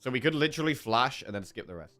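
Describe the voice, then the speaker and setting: A man's voice: medium pitch, expressive, racing, bright, forceful tone, faint music behind. A man with a sore throat giving casual gameplay commentary as a streamer, thinking aloud through his choices. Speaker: game streamer.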